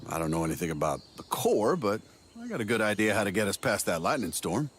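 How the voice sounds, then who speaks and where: Game role: breezy older operative, wheedling schemer, swooping intonation on a fairly low voice. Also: male voice, big pitch swings, quick pace, fairly bright tone, a little hiss or hum. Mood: persuasive. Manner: folksy, wheedling, glib. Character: sly, breezy older male agency operative, smooth-talking schemer. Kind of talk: video game cutscene acting.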